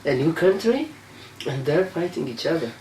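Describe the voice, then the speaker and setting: A man's voice: a little high, animated, normal speed, a little mellow, distant mic. A man interviewing a guest, mostly giving short replies and speaking hesitantly when he makes his own points. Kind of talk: interview discussion. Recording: echoey room, voice off-mic, faint noise.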